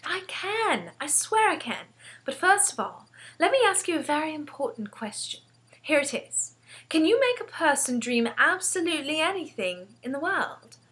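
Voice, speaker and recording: Woman as a determined little orphan girl, high, excitable, insistent, swooping intonation, storybook voice. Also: normal speed. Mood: eager. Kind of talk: storybook character voice.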